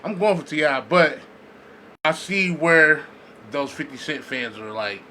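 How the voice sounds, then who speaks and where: Argumentative man with a bright, sharp voice. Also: a little high, expressive, unhurried, slight background noise. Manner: argumentative, slangy, profane, repeats words for emphasis. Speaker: podcast co-host. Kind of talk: casual podcast debate.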